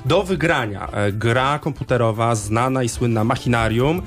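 A man hosting a radio show in casual, chatty talk, with hesitant pauses as he goes. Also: medium pitch, soft background music. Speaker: radio host.

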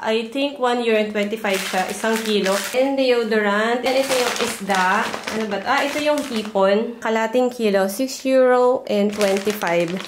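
A woman's voice: a little high, normal speed, few pauses, noisy background. A Filipino-accented woman narrating a haul vlog in a casual, chatty way, listing items one by one. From Philippines. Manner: chatty, itemizing. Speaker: vlogger. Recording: loud background music. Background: music.

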